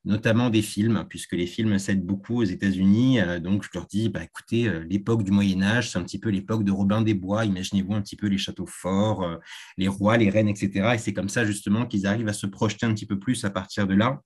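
A man's voice: lowish voice, steady tone, quick pace, a little mellow, husky. A man whose first language is Spanish, a tour guide, answering interview questions thoughtfully with frequent hesitations.

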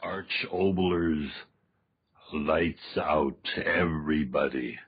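A man hosting an old radio horror show, speaking in a solemn, deliberate, measured way. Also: extremely low pitch. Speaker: host and announcer. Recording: muffled old radio recording.

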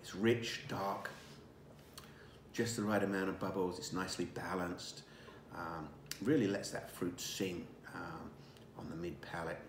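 Relaxed older winemaker in an echoey room. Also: male voice, low voice, unhurried, a little hiss or hum. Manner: relaxed, savoring, many fillers and restarts. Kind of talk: casual wine tasting presentation. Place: indoor dining room at a table.

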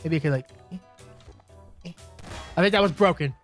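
A man's voice: on the high side, brisk, faint music behind. A young man clowning and exclaiming through playful, improvised gameplay roleplay commentary. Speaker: gaming video player.

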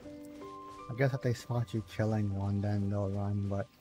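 Quiet, soft-spoken man streaming a game. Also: steady tone, a little slow, music in the background. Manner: low-key, chatting with viewers between play. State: under the weather. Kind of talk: casual gameplay stream chat.

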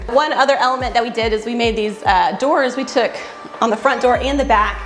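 An enthusiastic woman in her thirties walks through a freshly renovated, empty house, explaining each design choice. Her voice sits somewhat high. The bare rooms give an echoey sound, with faint music underneath. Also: fairly quick, fairly bright tone. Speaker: house flipper co-host. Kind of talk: casual home-tour chat.

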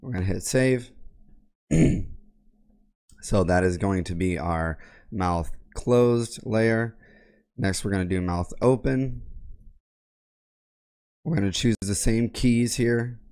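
Low-voiced sick man explaining software steps. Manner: plain, methodical instructions with frequent throat clearing. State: sick, raspy voice. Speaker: tech tutorial creator. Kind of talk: step-by-step software tutorial. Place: home gaming room, indoors. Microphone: boom mic on arm with headset.

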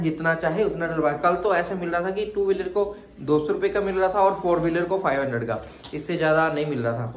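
A man in his thirties talks casually to his phone held at arm's length, teasing and easygoing. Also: fairly high pitch. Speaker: vlogger. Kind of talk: casual family vlog chat. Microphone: phone selfie at arm's length.

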